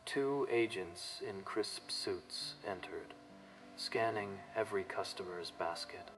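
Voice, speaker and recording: A man reads a dramatic scripted story as narrator for a manga dub. His voice is low and slow, with faint music underneath.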